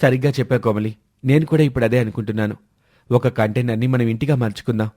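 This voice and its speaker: A man's voice: mid-range voice, fairly even intonation, quick pace, mellow, lightly acted. Man voicing an earnest grown village son. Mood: resolute. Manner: earnest, respectful. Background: background music.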